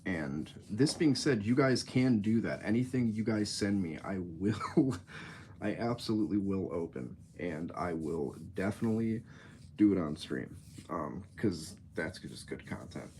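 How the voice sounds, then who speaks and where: A young man talks on a home livestream in a very low voice, his nose stuffed up from a cold. He rambles and jokes loosely, drifting off topic. Faint clicks sound behind him. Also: animated, measured pace, darkish tone, a little hiss or hum. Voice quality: nasal, stuffy. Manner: rambling, joking, easily sidetracked. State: congested from a cold. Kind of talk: casual livestream chat.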